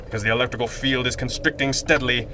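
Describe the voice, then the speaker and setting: An actor playing a confident, wry masked crime-fighter in a pulp radio drama, measured and dramatic. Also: male voice, mid-range voice, fairly even intonation, noisy background, lightly acted. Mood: grave, deliberate.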